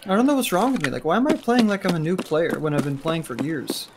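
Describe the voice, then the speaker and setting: A man's voice: sits high, sing-song, fast talker, very noisy. A man streaming a shooter game, self-deprecating and laughing at his own play. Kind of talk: casual gaming chat.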